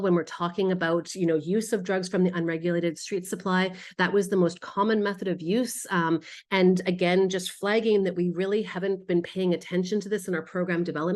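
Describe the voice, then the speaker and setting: A woman gives a research presentation in a slightly low voice. She explains findings in a measured, semi-scripted way, stressing key points and dropping in small asides as she goes.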